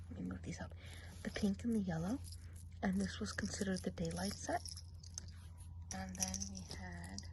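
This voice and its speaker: A woman's voice: medium pitch, very noisy. A woman playing a whispery gossipy forest witch. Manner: soft whispering, rambling gossipy chatter. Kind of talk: ASMR role play.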